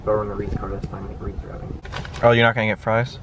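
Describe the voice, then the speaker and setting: A man's voice: lowish voice, little pitch movement, quick pace. A young American man in casual, slangy, joking chat inside a car. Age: young adult. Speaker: prank vlogger. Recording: very noisy in-car camera sound. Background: loud car noise.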